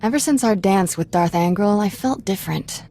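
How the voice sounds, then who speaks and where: Game heroine, female actor: young Jedi apprentice, medium pitch, spirited, wry and informal. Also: expressive, normal speed, mellow, natural voice. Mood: reflective. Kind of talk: video game acting.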